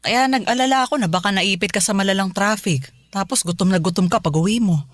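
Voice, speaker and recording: A woman's voice: medium pitch, fast, no echo, close to an everyday voice. A woman playing a caring, tired middle-aged mother in a Filipino radio drama, speaking in a homely, chatty way. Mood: weary, caring.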